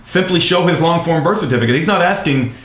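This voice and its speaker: A man's voice: a little high, lively intonation, fast, a bit bright. An American man speaking as an activist caller on the phone, earnest and polite, hesitating and repeating himself as he makes his case. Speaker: activist caller phoning a congressman's office. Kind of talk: earnest phone conversation, advocacy. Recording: roomy recorded phone call.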